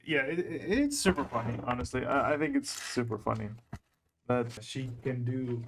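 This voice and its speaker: Man giving excitable game commentary. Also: medium pitch, normal speed, a little hiss or hum. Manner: excitable, repeats himself mid-thought. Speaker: reaction video host.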